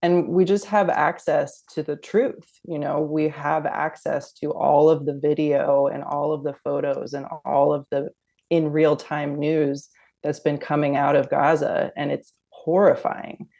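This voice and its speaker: Gravelly, monotone woman activist. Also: sits low, measured pace. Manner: thoughtful, frequent "um" and "you know". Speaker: activist guest. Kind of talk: casual interview talk.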